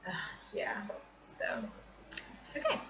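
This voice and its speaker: A woman's voice: slow pace, whispered. A woman vlogging casually from her bedroom, rambling in a scattered, informal and self-deprecating way. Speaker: vlogger and amateur short story writer. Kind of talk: casual rambling vlog chat. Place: small bedroom at home, indoors. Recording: muffled, noisy room with fan running. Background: running fan noise.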